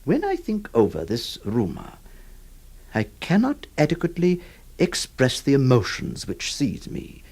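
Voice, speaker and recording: A man playing a troubled, compassionate lawyer who narrates in solemn, halting, literary phrases for a radio drama. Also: on the high side, darkish tone, full character voice. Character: troubled, compassionate male lawyer recounting a sad history.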